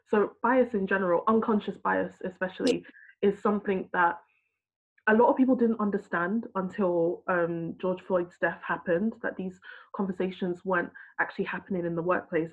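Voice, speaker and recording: A woman who works in healthcare, earnestly telling a frustrated personal story in a discussion. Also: mid-range voice. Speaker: healthcare worker. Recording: husky voice over a tinny phone-like line.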